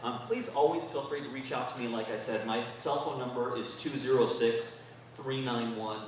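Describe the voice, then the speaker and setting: A man's voice: medium pitch, steady tone, very echoey, slight background noise. A man, a sheriff's deputy, giving a casual report at a community meeting, with many fillers, heard from a distant mic in a meeting room. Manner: conversational, many fillers, reads out numbers slowly. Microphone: distant room microphone.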